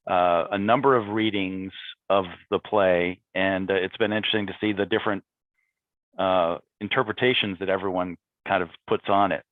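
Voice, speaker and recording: A man's voice: deep voice, fairly even intonation, moderate pace, dry recording. A man speaking reflectively as a playwright guest on a panel, over muffled, dry video-call audio.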